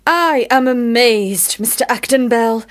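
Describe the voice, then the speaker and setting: A woman's voice: on the high side, takes it slowly. A woman playing a commanding, teasing eldest sister in a period radio drama, speaking in a formal, theatrical way. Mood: mock-formal.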